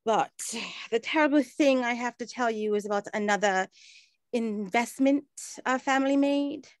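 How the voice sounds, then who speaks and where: A woman's voice: fairly high pitch, moderate pace, dry recording, lightly acted. A woman playing an earnest, well-travelled 1920s aunt in a period drama, speaking formally and explaining things. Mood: grave, explanatory. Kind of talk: scripted period radio drama acting.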